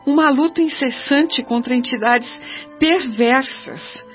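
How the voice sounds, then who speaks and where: Radio drama role: anguished Brazilian mother, complaining and dramatic, fairly high voice, slightly dull recording with music. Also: takes it slowly. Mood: indignant complaining. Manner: plaintive, self-justifying, dramatic. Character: anguished, complaining spirit mother pleading for her children.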